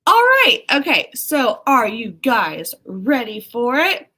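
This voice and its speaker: A woman's voice: fairly high pitch, wildly swooping pitch, slow, sharp tone. A woman hosting a casual livestream, chatting informally with viewers, reading out their comments and exclaiming as she acts out remarks. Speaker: livestream host.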